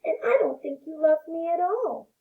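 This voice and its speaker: Little needy picture-book creature, played by a woman: grumpy, childlike, questioning, pitched high. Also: expressive, moderate pace, phone-quality sound. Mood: grumpy, tearful. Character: small grumpy, needy young creature seeking love.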